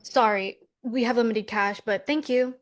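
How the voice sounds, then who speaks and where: A woman reads as a skeptical millennial twin from New York in a romcom script. Her voice sits a little high, and she keeps it practical and dry. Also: fairly even intonation, moderate pace, natural voice. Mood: matter-of-fact. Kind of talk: table read of a romcom script.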